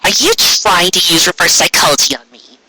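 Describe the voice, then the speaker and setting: A man's voice: high-pitched, animated, fairly quick, sharp tone, dry recording, pitched above the performer's own voice, exaggerated voice, poor audio. A man voicing a proud, stubborn young female unicorn magician in a dramatic, pleading way for a story reading. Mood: incredulous.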